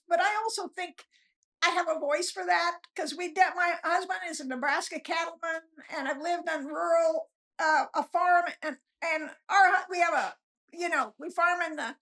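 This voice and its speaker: An older woman with an extremely high voice. She talks as a guest author in a casual interview, rambling along in a self-deprecating, chuckly way. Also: pushed and bright.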